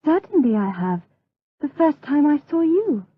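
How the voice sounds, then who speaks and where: An actress playing an innocent, devout young maiden in a gothic radio drama, with an earnest, breathless, naive delivery. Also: female voice, sits high, sing-song, normal speed, no room sound, phone-quality sound. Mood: earnest, tender. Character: innocent, devout, trusting young Spanish maiden, devoted daughter.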